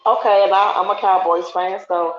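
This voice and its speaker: A woman's voice: flat delivery, normal speed, a little hiss or hum. A woman, chatting casually as a guest on an online sports talk show and laughing often. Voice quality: deep raspy voice. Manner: laughs often, loud and teasing. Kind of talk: casual chat. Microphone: online call. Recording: roomy, dull lo-fi online call audio.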